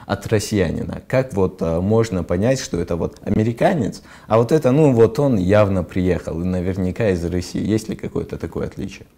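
A young male morning-show host with a very low voice asks his guest questions in a TV studio. His tone is dark and mellow, and his questions come briskly and brightly. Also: lively intonation. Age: young adult. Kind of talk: TV studio interview. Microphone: lapel.

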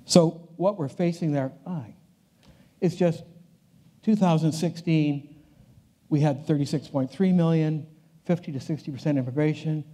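Older man with a dark, mellow voice. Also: a little high, a little slow, pauses often. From Canada. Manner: conversational, restarts phrases, walks the stage. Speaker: keynote speaker. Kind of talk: conference keynote lecture. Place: large conference hall stage.

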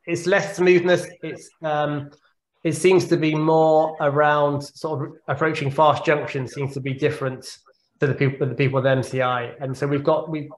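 A man in his forties, a neurologist, answering audience questions on a video call, thoughtful and often repeating words as he thinks. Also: medium pitch. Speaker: guest speaker, neurologist. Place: home room on a video call. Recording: echoey video-call audio.

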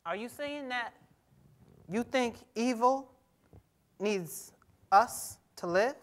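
A man's voice: extremely high pitch, animated, slow, fairly bright tone, exaggerated voice. A young man playing a skeptical, aggrieved teenage boy in a dramatic stage reading, with colloquial, pointed, questioning delivery. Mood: challenging. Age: young adult. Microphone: lapel mic on a stage.

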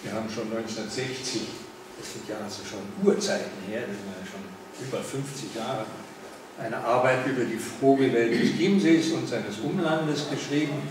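A man's voice: medium pitch, normal speed. An older man with a Berlin accent giving a public lecture in a large room, talking conversationally with frequent fillers and asides to his listeners. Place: large indoor meeting room. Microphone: far camera, no mic. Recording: echoey room, distant camera, noisy.

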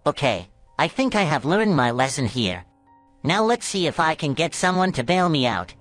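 A jittery young sea sponge, as a man puts him on for a homemade cartoon story. He sounds pitched well above a normal man's voice, whiny and easily rattled, and gets shrill when he is upset. Soft music plays faintly behind him. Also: very animated, moderate pace, dry recording. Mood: fed up. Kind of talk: fan storytelling.

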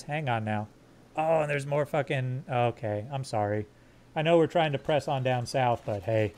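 A man streaming a game live, joking crudely with his chat in a fairly monotone voice while sorting his squad's gear. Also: fairly high pitch. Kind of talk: live gameplay commentary.

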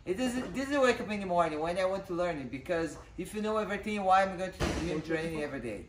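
A woman in her thirties, a native Portuguese speaker and fighter, talking casually in a boxing gym with halting English and many restarts. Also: fairly low pitch, lively intonation, distant mic. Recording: echoey gym with clear noise.

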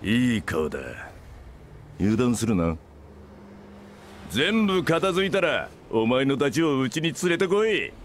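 Gruff middle-aged company-boss father, barking and rough, with a lively, swinging delivery. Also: mid-range voice, measured pace, pauses often, a little hiss or hum. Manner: loud, rough-spoken, barking. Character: gruff blustering father who runs a company. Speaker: game character.

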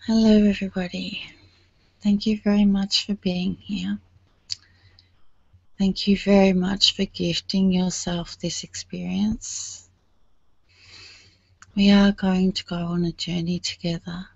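A woman leading a guided meditation in a soothing, hushed and breathy manner, leaving long pauses. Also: medium pitch, flat delivery, no room sound, highs cut off.

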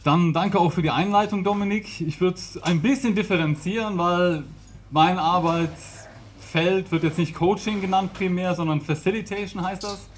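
A man's voice: high-pitched, moderate pace, a little hiss or hum. A man in his thirties from the Rhineland, giving a chatty, informal talk to an audience with a handheld mic in a small brick-walled room. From Rhineland, Germany. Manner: chatty, laughs often, gestures.

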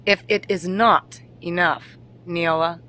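Woman playing a low-voiced solemn noble lady. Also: steady tone, sharp tone, dry recording. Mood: commanding. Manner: solemn, measured. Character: grave, commanding noble lady of fantasy realm. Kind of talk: fantasy audio drama acting.

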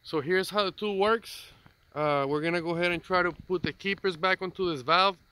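A man's voice: high-pitched, fairly quick, clear, resonant tone, rough recording. A man, a mechanic, casually narrating repair work step by step while filming on a phone outdoors in a work yard. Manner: relaxed, explains step by step. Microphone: phone camera. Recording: outdoor noise behind voice.

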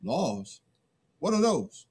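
Conscientious peacemaker, played by a middle-aged man, slow and hesitant, very animated pitch, stage reading. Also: husky, heavily acted. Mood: earnest, troubled. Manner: hesitant, earnest. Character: conscientious man pleading for the old ways. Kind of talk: dramatic play reading. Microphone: computer mic on video call.

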